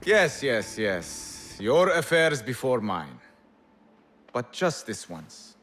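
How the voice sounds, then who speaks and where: A man's voice: mid-range voice, sing-song, slow pace, a bit bright, a little hiss or hum. A man playing a proud, stubborn rebel in a video game, speaking in a grandiloquent, wry and theatrical way. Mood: grand, pleased. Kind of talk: video game cutscene dialogue.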